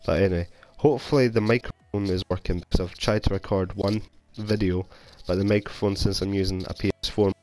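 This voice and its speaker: Scottish man, young, low voice, bit of a cold, rambly self-mocking game chat, faint game music behind. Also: steady tone, fairly quick, hardly any pauses, a little mellow. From Scotland. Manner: rambling, self-deprecating, chatty. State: has a cold. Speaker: gaming YouTuber. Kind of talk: casual gameplay commentary. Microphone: console headset mic.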